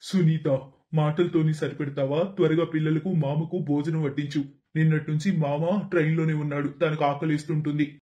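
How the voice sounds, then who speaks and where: Casting: male performer as humble, affectionate young father, slightly raised pitch, animated moral tale. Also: normal speed, highs cut off, close to an everyday voice. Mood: attentive. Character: poor, kind, hardworking husband and father. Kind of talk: animated moral story voice acting.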